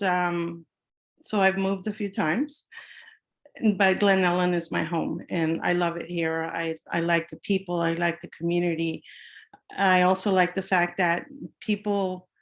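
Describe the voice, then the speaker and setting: A woman from El Salvador, an invited guest speaking on a video call, unhurried and soft-spoken with some fillers. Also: lowish voice, little pitch movement. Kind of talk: personal introduction.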